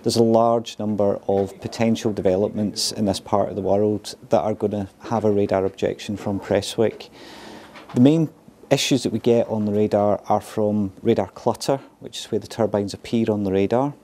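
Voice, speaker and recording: Middle-aged male manager in interview, low-pitched, dark tone, faint noise behind. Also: fairly even intonation, moderate pace. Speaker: air traffic control manager. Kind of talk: explanatory interview. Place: indoors, glazed control tower room. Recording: faint room background noise.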